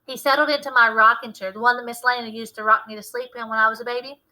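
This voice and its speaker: A woman narrates a children's story in a high, strikingly bright voice. Her phrasing is animated and dramatic, in the manner of a teacher reading aloud to a class. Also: quick pace, low-quality recording.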